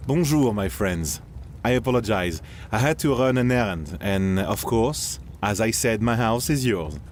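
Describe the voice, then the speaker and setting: Courteous gentleman acted by a man. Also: lowish voice, animated, a little slow, slight background noise. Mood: gracious. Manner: polite, formal, deferential. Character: courteous Frenchman, gracious host of the 1700s. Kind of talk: audio drama acting.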